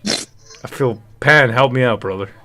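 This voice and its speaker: A man's voice: medium pitch, unhurried, bright, forceful tone. A man hosting a livestream, chatting loosely and informally in a jokey, rambling way that repeats itself. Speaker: livestream host. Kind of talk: loose, joking casual chat.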